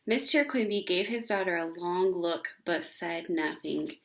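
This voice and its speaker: A woman reading a children's book aloud as an expressive storyteller. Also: lowish voice, unhurried.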